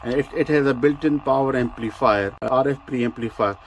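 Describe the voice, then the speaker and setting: A man who speaks English as a second language gives an unscripted product demonstration, explaining technical details in a halting way with repeated words. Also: mid-range voice, slight background noise, poor audio. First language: not English. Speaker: presenter of a vintage radio review.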